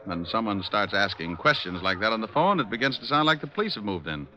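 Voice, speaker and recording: A man's voice: lowish voice, animated, quick pace, pushed and bright. A man playing a shrewd, wisecracking tough private detective in a 1940s style radio drama. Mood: brisk, probing. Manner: sardonic, wisecracking. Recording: muffled vintage radio recording.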